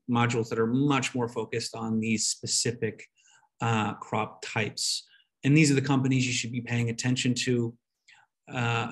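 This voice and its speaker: Male online presenter, mid-pitched, conversational explainer delivery for a slide webinar on a video call.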